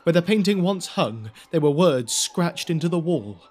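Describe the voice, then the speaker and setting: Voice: mid-range voice, animated, moderate pace, a little mellow. A narrator telling a spooky audio drama story in scripted storytelling style. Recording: music behind the voice.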